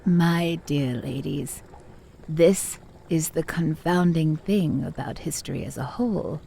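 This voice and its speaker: A woman playing a measured, cryptic lore-keeper in a fantasy audio drama, speaking in deliberate, formal storytelling. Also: deep voice, gravelly, warm tone, a little hiss or hum, heavily acted. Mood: knowing, challenging. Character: measured, cryptic female lore-keeper challenging her pupils' histories.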